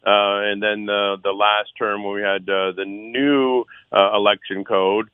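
A middle-aged man from Six Nations in Canada, a council candidate on a phone-in radio interview, earnest and loud-voiced, with many uh fillers and restarts. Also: on the low side, flat delivery, measured pace, clean tone, no room sound. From Six Nations, Ontario, Canada. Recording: dry tinny phone line.

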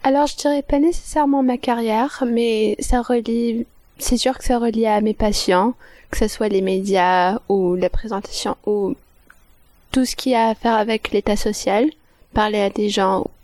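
Teen girl intern, earnest, a bit high-pitched, dry sound. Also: steady tone, darkish tone, dry recording. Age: teens. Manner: earnest, quick bursts with pauses. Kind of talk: interview answers.

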